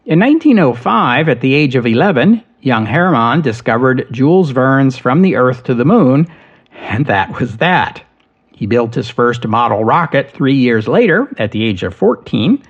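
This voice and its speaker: A man tells history in a measured, steady way, reading from a prepared script at a middling pitch. The recording sounds slightly dull and lo-fi. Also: husky. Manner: measured, steady storytelling delivery. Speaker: history podcast host.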